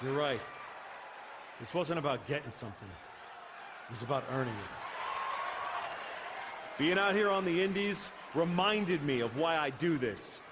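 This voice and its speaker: A proud male wrestler on a comeback in a game cutscene. His fairly high voice is earnest and determined, emphatic, with a noisy arena crowd behind. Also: animated, unhurried, long pauses. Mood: proud, resolute. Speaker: pro wrestler. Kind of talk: video game cutscene acting.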